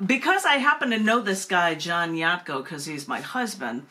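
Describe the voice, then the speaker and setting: A woman's voice: lowish voice, lively intonation, moderate pace, sharp tone. A woman hosting a casual open mic and reading poetry. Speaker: open mic host, poet.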